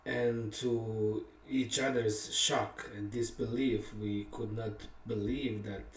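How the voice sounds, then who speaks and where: A middle-aged man, a songwriter, casually telling the personal story behind one of his songs, reflective and candid, pausing often and laughing at himself. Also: fairly low pitch, fairly even intonation. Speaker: songwriter and musician. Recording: roomy sound from a distant mic.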